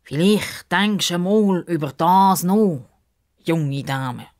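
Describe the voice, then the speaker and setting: Children's story crocodile, played by a woman: drawn-out, pompous, easily miffed, formal in every phrase. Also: on the low side, background noise. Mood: huffy, snippy. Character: pompous, slightly huffy crocodile, formal and unhurried. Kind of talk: children's radio story character acting.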